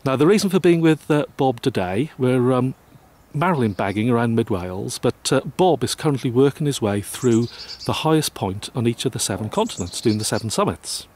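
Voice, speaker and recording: A man's voice: mid-range voice, expressive, fairly quick, hardly any pauses, darkish tone. A man hosting an interview and asking questions politely, with many "um" and "uh" fillers.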